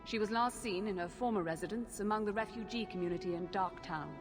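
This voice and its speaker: A woman plays a tranquil mage who serves as an efficient assistant. Her medium-pitched voice is detached, exact and formal, without feeling. It echoes in a long reverb over clearly audible fantasy game music. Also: fast talker, natural voice. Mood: detached, matter-of-fact. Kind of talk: dramatic video game acting.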